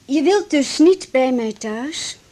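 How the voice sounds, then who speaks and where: A wounded, sarcastic lover in a radio play. Her voice sits fairly high and swings expressively as she confronts him in short, bitter phrases. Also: animated, slow pace, a little mellow. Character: bitter, hurt woman being dropped by her lover. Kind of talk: naturalistic radio drama acting.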